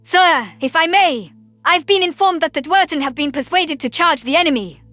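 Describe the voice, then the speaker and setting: A man's voice: extremely high pitch, moderate pace, pushed and bright, no room sound, soft background music, pitched above the performer's own voice. A man voicing a polite human woman officer in an audio story, formal and deferential. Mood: polite, reporting.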